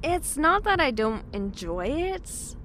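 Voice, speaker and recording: A woman's voice: high-pitched, big pitch swings, slow pace, fairly bright tone, a little hiss or hum, heavily acted. A woman playing a friendly, slightly flustered young working woman in an audio drama, polite and a little hesitant.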